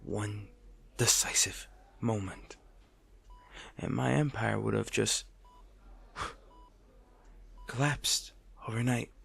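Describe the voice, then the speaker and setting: A man playing a brooding supervillain bitterly attached to his rival, in a hushed, theatrical audio roleplay monologue with deliberate pauses. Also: on the low side, gravelly, breathy edge, heavily acted. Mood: wistful, bitter. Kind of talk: dramatic audio roleplay monologue, addressed to the listener.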